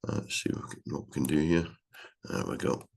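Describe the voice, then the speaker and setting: A man with a cold, congested and halting with many fillers, presenting a daily weather briefing at a gliding club. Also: a bass voice, fairly even intonation, creaky, darkish tone, slightly rough.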